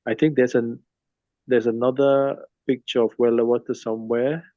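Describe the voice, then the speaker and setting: A man in his fifties from Sri Lanka gives an informal online presentation with slides, speaking haltingly and rephrasing as he goes. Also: mid-range voice, level tone, dark tone, dry recording. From Sri Lanka, later Nigeria. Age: 50s. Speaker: speaker at a cultural talk. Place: online video call. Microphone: phone. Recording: dull lo-fi phone call audio.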